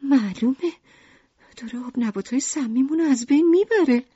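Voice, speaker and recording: A woman's voice: high-pitched, mellow, husky, no echo, highs cut off. A woman playing an anxious, easily upset wife and mother in an acted audio story, with dramatic, emotional delivery. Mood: matter-of-fact.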